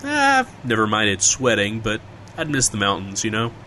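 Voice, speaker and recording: Guy acting as a bemused small town local, folksy n casual, pitch jumps around a lot, audio drama. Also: huge pitch swings, moderate pace, bright, forceful tone, a little hiss or hum, exaggerated voice, low-quality recording. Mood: easygoing. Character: Jesse, easygoing small-town local man, bemused and wry.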